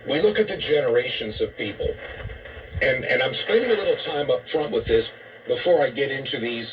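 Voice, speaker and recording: A man's voice: mid-range voice, lively intonation, a little fast, far from the mic. Heard through a dull shortwave radio signal with faint noise, a man holds forth as a talk radio host. His delivery is emphatic and disapproving, built around rhetorical questions. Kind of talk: opinionated talk radio monologue. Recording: muffled, noisy shortwave radio broadcast.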